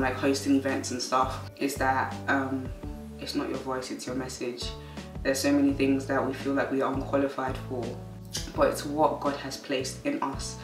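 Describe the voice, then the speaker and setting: Young woman, Nigerian accent, earnest soft vlog confessional, flat delivery, roomy distant mic. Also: a bass voice. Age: young adult. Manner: earnest, soft-spoken, faith-filled. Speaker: vlogger. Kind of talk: reflective sit-down vlog talk. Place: living room, indoors. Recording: roomy sound, mic set back.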